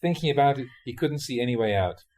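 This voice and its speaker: A man reading Buddhist teaching stories aloud in the animated manner of a storyteller. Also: mid-range voice, fast, slight background noise. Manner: animated storyteller, acts out dialogue, often chuckles. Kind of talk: audiobook reading of dharma talk storytelling.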